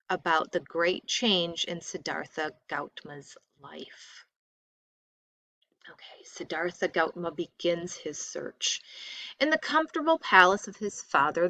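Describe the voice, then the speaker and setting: Woman reading aloud with very lively intonation. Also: lowish voice, no echo. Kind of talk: expressive read-aloud of a textbook story.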